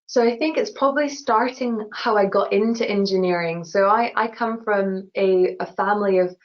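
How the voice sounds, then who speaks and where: A woman's voice: medium pitch, normal speed. A woman with a Glasgow accent answering questions in a webinar, earnest and full of "you know" and restarts. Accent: Scottish (Glasgow). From Glasgow, Scotland. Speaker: award-winning engineer guest. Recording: dull lo-fi webinar audio.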